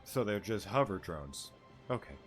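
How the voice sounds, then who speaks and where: A man's voice: lowish voice, expressive, normal speed. A young man giving casual, joking, offhand commentary as he plays, with game music behind him. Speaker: gaming streamer. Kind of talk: casual reaction commentary over gameplay.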